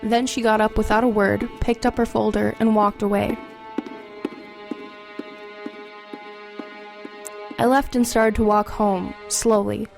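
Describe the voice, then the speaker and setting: A woman's voice: mid-range voice, flat delivery, moderate pace, vocal fry, over music, lightly acted. A woman playing an earnest, persistent and anxious teenage girl in an audio drama, probing and a little hesitant. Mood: subdued narrating. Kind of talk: audio drama acting and first-person narration.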